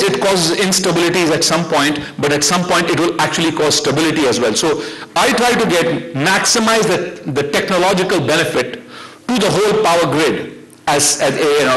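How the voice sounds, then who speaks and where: A man's voice: fairly high pitch, a bit bright, poor audio. A middle-aged man with an Indian accent giving an unscripted conference talk as a researcher, arguing his points in an emphatic, conversational way. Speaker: academic researcher speaking on a panel.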